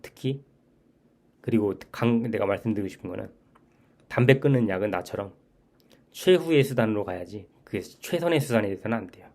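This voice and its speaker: Earnest low-voiced man in his forties. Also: expressive, fairly quick, long pauses, a little mellow. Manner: earnest, repeats key points, frequent restarts. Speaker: channel host. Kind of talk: reflective personal monologue.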